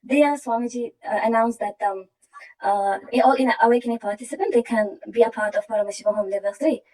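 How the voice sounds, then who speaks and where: A woman from Iraq, earnestly sharing a personal testimony with halting restarts. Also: on the high side, darkish tone, recorded from a distance, poor audio. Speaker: program participant sharing her story.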